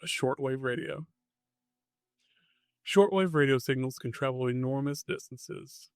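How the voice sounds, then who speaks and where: A man with a mid-pitched voice narrates like a podcast host telling an eerie story. His phrasing is short and suspenseful, with a deadpan streak. The sound is very dry, with no room around it. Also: unhurried, dry recording.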